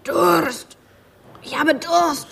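Creaky, high, whining wounded soldier. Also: female voice, extremely slow, a bit bright, in a large hall, a little hiss or hum, heavily acted. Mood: plaintive. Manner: plaintive, pleading. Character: girl mimicking a whining, feverish wounded male soldier. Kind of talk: radio drama acting.

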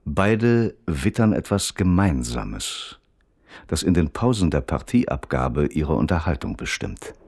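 Radio drama narrator, low-pitched, detached and observant, precise, dry wording, varied intonation. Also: male voice, very animated, takes it slowly, gravelly, a little mellow, breathy edge, heavily acted.

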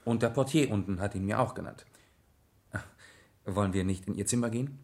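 An actor in a German radio play playing a composed aristocratic gentleman detective, polite and matter-of-fact. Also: fairly low pitch, brisk. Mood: serious, probing.

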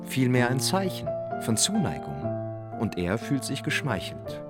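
A man's voice: mid-range voice, wildly swooping pitch, measured pace, loud music behind the voice. An actor as the sober, knowing narrator of a radio drama, telling of another man's inner life.

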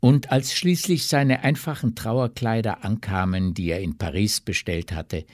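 A man's voice: fairly low pitch, unhurried, a little mellow, dry recording, studio quality. A man reading scripted literary narration as the narrator of a radio drama.

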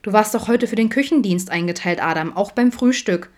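A woman's voice: mid-range voice, a little fast, pitched above the performer's own voice, lightly acted. A woman voicing a bossy male colleague in an audiobook, patronizing and reproachful.

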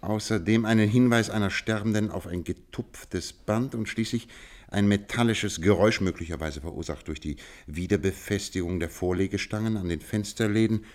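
A man acting a cool, analytical detective in a radio play, speaking in a measured, dryly ironic way. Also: lowish voice, darkish tone, natural voice.

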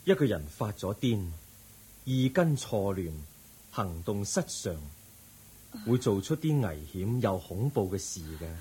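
An actor playing a calm, compassionate Buddha in a Hong Kong Cantonese radio drama, preaching in a solemn, measured way. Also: male voice, on the low side, husky, full character voice. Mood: grave, warning. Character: calm, compassionate male Buddha counselling an aggrieved believer.

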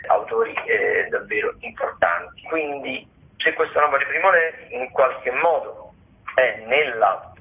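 Male theatre critic, medium pitch, halting delivery, radio listings rundown, thin phone sound. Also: animated. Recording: thin tinny phone line.

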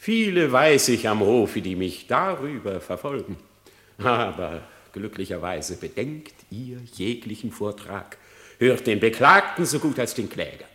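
Sly, swooping, wheedling fox. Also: male voice, lowish voice, very animated, measured pace, bright, forceful tone, full character voice. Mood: smooth flattery. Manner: wheedling, theatrical, mock-humble. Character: sly, flattering, greedy male fox feigning piety. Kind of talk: dramatic verse radio play.

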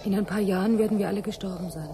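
A woman's voice: mid-range voice, fairly even intonation, rapid-fire, noisy background, natural voice. An actress playing a bitter, skeptical woman colonist, blunt and embittered, in a radio drama. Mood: grim. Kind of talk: German radio drama acting.

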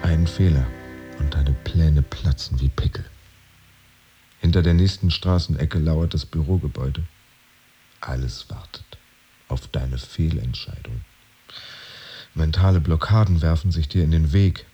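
An actor playing a brooding jobless man in an introspective radio drama monologue, measured and dry, often sinking towards a whisper. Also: very deep voice, warm tone, breathy edge, faint music behind, full character voice. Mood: anxious, wry. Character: brooding man who lost his job, pretending to work.

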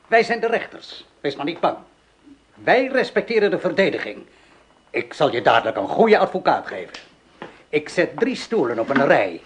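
Radio play: a cruel ringleader as mock judge, high and animated. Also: male voice, moderate pace. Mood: menacing. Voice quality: theatrical, menacing, commanding. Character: vengeful male village ringleader acting as judge. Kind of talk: Dutch radio drama acting.

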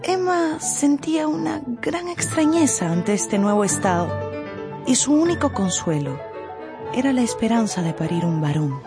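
A woman giving scripted, expressive narration for a radio novel. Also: on the high side, unhurried, over music. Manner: expressive storytelling. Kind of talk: scripted dramatized audiobook narration.

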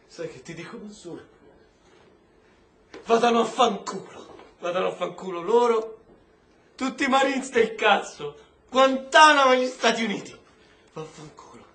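A man's voice: high-pitched, expressive, a little slow, pauses often, sharp tone, full character voice. A man acting in an Italian radio drama as a young, defiant, wounded prisoner, moving between muttering and outbursts. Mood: bitter, defiant. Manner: emotional, swinging from muttering to outbursts. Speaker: actor. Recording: roomy, echoing, noisy background.

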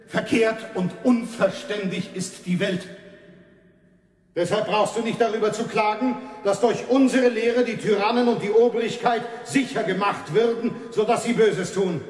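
High-voiced, stern preacher in echoing hall. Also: male voice. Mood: condemning, righteous. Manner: preaching, emphatic, didactic. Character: stern, authoritarian male reformation preacher defending rulers. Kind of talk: German radio play, declamatory sermon. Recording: echoey hall with long reverb.

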